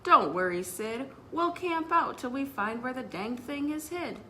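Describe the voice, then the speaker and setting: Woman as a folksy, easygoing storybook dad, bright-toned. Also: high-pitched, lively intonation, a little slow, slight background noise, pitched above the performer's own voice, heavily acted. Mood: reassuring. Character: folksy, easygoing father reassuring his family. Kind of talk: picture book read-aloud.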